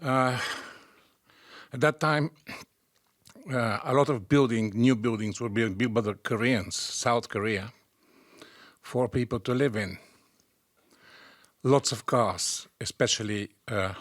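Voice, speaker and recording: A man's voice: mid-range voice, slow, pauses often, a bit bright, dry recording, studio quality. A man in his sixties from Bulgaria, recalling his past in a hesitant, matter-of-fact way with many fillers. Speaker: audience member.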